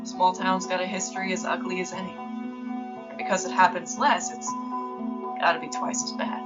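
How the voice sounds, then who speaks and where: Wary small-town female storyteller played by an actress: lower register, colloquial and brooding, muffled audio drama sound with music. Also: little pitch movement, close to an everyday voice. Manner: colloquial, measured, ominous. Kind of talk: scripted first-person horror narration.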